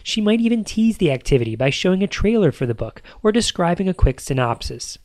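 A man's voice: sits high, sing-song, a little fast, darkish tone, no echo. An American man narrating a scripted podcast as host, with an emphatic, upbeat presenter delivery.

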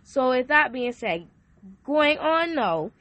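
A woman's voice: high voice, big pitch swings, takes it slowly, a bit bright. Earnest young woman sharing a testimony. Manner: earnest, repeats herself, restarts often. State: hoarse from a sore throat. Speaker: podcast host. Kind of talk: personal testimony, casual faith talk.

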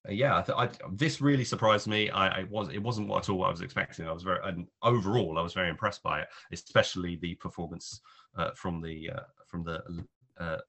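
A man with a very deep voice and a crisp, bright tone talks through a film review. His delivery is casual and rambling, full of hesitant, trailing clauses as he thinks aloud. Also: steady tone, fast talker.

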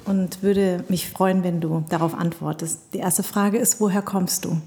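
A woman from Germany hosting a podcast, reading a measured intro and asking clear questions. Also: fairly low pitch, fairly even intonation, dark tone. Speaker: podcast host. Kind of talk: scripted intro then interviewing.

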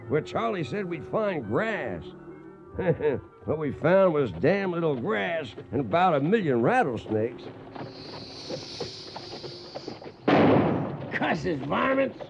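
An actor playing a folksy, excitable cowboy in a radio western, with a crackly voice and an American Western drawl. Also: male voice, on the high side, vocal fry, muffled recording, heavily acted. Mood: wry storytelling. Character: folksy, excitable cowboy spinning trail yarns. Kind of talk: radio western acting and narration.

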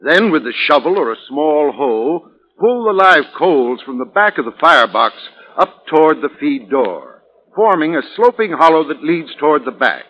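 A man giving scripted, instructional advertising advice on 1940s radio with deliberate phrasing. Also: fairly high pitch, very animated. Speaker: advertising spokesman, home heating expert. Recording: muffled vintage 1940s radio recording.

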